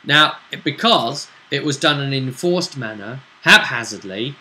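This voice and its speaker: Bright-voiced man recapping a game. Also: mid-range voice, expressive, moderate pace, low-quality recording. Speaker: game master reviewing a tabletop role-play session. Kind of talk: casual chat recapping a game.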